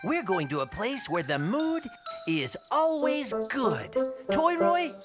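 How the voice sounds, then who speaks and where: A woman's voice: high voice, big pitch swings, full character voice. A woman voicing an eager, cheerful little boy dragon in a children's cartoon. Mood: upbeat, encouraging. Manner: exclamatory, playful, drawn-out delivery. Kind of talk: animated children's cartoon dubbing. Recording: loud music under the voice. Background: music.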